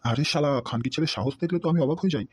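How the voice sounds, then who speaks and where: Man acting a fast-talking, blustering cartoon politician father. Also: a little high, lightly acted. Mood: outraged. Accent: West Bengal. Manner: crude, domineering, blustery. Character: blustering middle-aged male politician, doting but bullying father. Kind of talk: comedy cartoon dialogue. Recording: music behind the voice.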